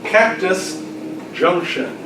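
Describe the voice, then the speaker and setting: Echoey, high-pitched man running a quiz. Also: big pitch swings, very slow, noisy background. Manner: jokey, teasing. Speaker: quiz master. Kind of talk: live club quiz, reading out questions with banter. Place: club luncheon in a roomy indoor space. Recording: echoey room from far off, chattering crowd. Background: chatter of club members.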